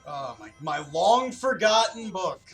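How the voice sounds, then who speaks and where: Joking animated man playing a game. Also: high-pitched, a little slow, soft background music. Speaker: let's play commentator playing a video game. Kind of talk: casual joking game commentary.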